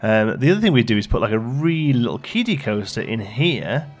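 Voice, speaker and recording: A man's voice: medium pitch, very animated, fairly quick. A man giving casual let's play commentary, chatty and thinking aloud as he plays. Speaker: gaming channel host.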